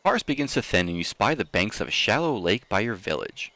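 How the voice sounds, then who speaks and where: A man reading a story aloud in a theatrical, expressive manner, with casual asides, like a streamer hosting for an audience. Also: on the low side, brisk, dry recording.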